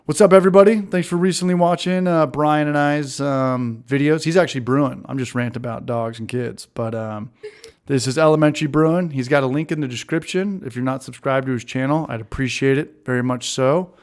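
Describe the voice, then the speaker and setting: A laid-back man in his late thirties chats through a homebrew livestream at a middle pitch. He rambles easily, often stopping to greet viewers in the chat. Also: a little fast. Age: 30s. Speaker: livestream co-host. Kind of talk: casual beer-tasting livestream chat.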